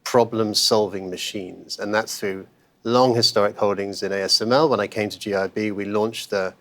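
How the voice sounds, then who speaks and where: A man's voice: fairly low pitch, steady tone, moderate pace, fairly bright tone. A man speaking as a guest on a podcast interview, talking conversationally with many fillers and self-corrections. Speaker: interview guest, investment manager.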